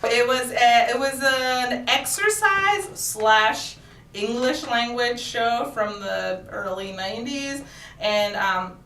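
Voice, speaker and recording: A woman's voice: a little high, slow pace, sharp tone, echoey room, distant mic. A woman raised in Japan, now a comedian and actor, chatting playfully as a guest in a studio interview and laughing often. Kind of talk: casual interview chat.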